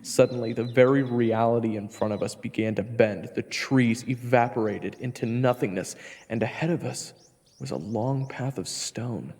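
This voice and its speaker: A man's voice: mid-range voice, moderate pace, a little mellow, breathy edge, big-room sound. A man narrating a scripted fantasy story in the first person. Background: faint music.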